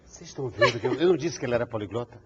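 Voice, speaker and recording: A man's voice: medium pitch, expressive, very fast, lo-fi sound, a crowd in the background. A man hosting a TV interview, often repeating and prompting his guest's answers. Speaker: interview host. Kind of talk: conversational TV interview.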